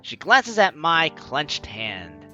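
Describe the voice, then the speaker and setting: A man's voice: a little high, wildly swooping pitch, slow pace, sharp tone, highs cut off, faint music behind. A man hosting a let's play, expressively reading a story game's text aloud and reacting with enthusiasm. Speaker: gaming video host.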